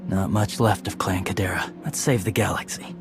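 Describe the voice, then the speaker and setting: A man's voice: low-pitched, normal speed, gravelly, over music. A man acting a laconic, loyal young warrior in a video game, terse and understated.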